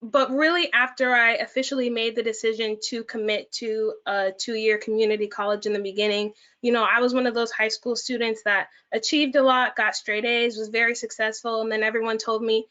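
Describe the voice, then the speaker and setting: A woman's voice: on the high side, fairly even intonation, fairly quick, hardly any pauses, a bit bright, dull audio. Earnest young woman panelist. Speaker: transfer student panelist. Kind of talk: panel discussion answer.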